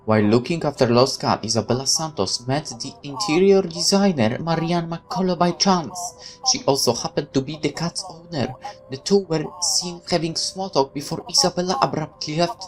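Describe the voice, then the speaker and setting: A man's voice: on the high side, moderate pace, music in the background, poor audio. A man hosting a let's play, reading game text aloud expressively and adding casual reactions.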